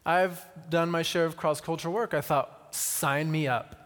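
A man giving a conversational sermon with personal stories, using deliberate pauses and repetition and touches of wry humour. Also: fairly high pitch, steady tone, a bit bright. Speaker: missionary speaker. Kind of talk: sermon with personal storytelling to an audience. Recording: reverberant hall.

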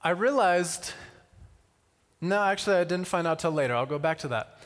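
A man giving a conversational sermon with personal stories, using deliberate pauses and repetition and touches of wry humour. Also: sits high, animated, fairly bright tone. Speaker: missionary speaker. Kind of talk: sermon with personal storytelling to an audience. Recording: reverberant hall.

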